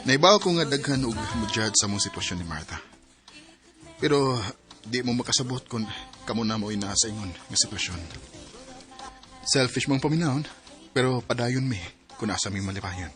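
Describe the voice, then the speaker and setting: A man's voice: medium pitch, expressive, moderate pace, a bit bright, faint music behind, natural voice. A man with a Filipino accent acting an evasive, wistful married man torn over a secret lover in a radio drama. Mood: resolute, wistful. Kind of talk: radio drama acting with first-person narration.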